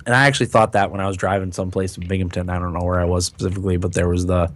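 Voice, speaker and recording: A man with a raspy, hoarse voice that is recovering, chatting casually and a bit haltingly as a podcast host. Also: deep voice, flat delivery, slight background noise. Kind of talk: casual chat.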